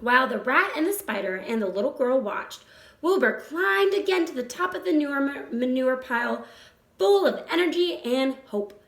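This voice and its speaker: A woman reading a children's story aloud with expressive narration. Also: high voice, normal speed. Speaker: storybook reader. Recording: roomy echo.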